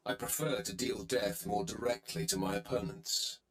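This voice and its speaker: An actor playing a haughty, menacing dark warrior in a video game, imperious and curt, with a roomy echo. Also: male voice, fairly low pitch, normal speed, close to an everyday voice. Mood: haughty, challenging. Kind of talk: video game hero-villain acting.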